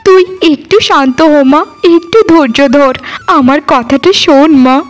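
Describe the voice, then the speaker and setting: A woman's voice: sits high, a little slow, soft background music. A woman voicing a worried poor village mother in a cartoon, soothing and imploring. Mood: anxious, soothing. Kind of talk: Bengali cartoon dialogue.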